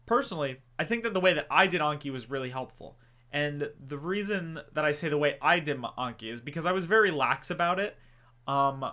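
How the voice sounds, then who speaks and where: Chatty man with a bright, higher voice. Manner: loose and rambling, with joking asides. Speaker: YouTuber answering viewer questions. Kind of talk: casual Q&A chat to camera.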